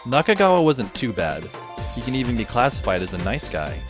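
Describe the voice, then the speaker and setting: A man's voice: mid-range voice, sing-song, a little fast, close to an everyday voice. A man playing an exasperated, sardonic teenage schoolboy who narrates an audio drama in a wry, self-questioning, conversational way. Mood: wry, uneasy. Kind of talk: first-person audio drama narration and dialogue. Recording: music behind the voice. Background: background music.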